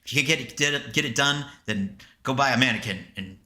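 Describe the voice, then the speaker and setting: Brief: male podcast co-host for casual banter, crisp bright tone at average pitch, emphatic, repetitive, happy to swear. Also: fast talker. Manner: emphatic, repeats himself, sweary.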